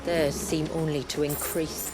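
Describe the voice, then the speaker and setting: Low-voiced, stoic woman guide. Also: level tone, a little slow, very noisy. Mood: grave. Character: stoic, world-weary woman guide of a dwindling tribe. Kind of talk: video game cutscene acting.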